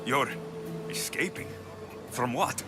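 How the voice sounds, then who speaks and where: An actor playing an indignant young heroic mercenary in a video game, intense and accusing. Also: male voice, medium pitch, takes it slowly, music in the background, lightly acted. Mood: questioning. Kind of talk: dramatic video game acting.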